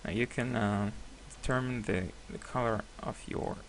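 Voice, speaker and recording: A man whose first language is Hebrew, giving a screen-recorded software tutorial with many hesitations and self-corrections. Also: on the low side, normal speed, vocal fry, a little hiss or hum, poor audio. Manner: apologetic, laughs at himself.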